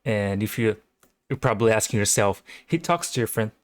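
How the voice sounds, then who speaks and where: A man's voice: on the low side, expressive, a little fast, no echo. A young man from Brazil with a Brazilian Portuguese accent, casually and enthusiastically hosting his own reaction video, rambling with fillers. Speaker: channel host. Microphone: home microphone.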